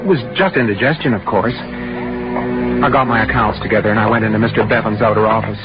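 Actor as timid, nervous bookkeeper, old radio sound. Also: male voice, mid-range voice, steady tone, brisk, close to an everyday voice. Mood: brooding. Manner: hesitant, mild, confiding. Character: nervous, timid male office bookkeeper who grows roses. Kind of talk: 1940s radio drama acting with first-person narration. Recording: dull 1940s radio recording with music.

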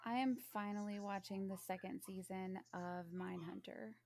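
Creaky woman, emphatic and sardonic. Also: medium pitch, fairly even intonation, moderate pace, a little mellow. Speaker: podcast co-host. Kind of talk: casual chat.

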